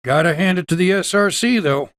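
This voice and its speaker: An actor playing a wry, folksy small-town doctor in a video game, with a Southern US accent and an easygoing drawl. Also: male voice, sits high, brisk, fairly bright tone, dry recording. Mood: teasing, warm. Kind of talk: character dialogue in a video game.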